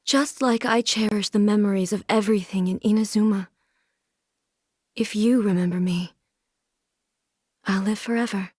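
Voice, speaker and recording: An actress voicing a solemn, aloof immortal shogun and swordswoman in a video game, with formal, measured, stately delivery. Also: medium pitch, fairly even intonation, a little mellow, no room sound, clean studio sound, natural voice. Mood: tender. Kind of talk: video game character voice lines.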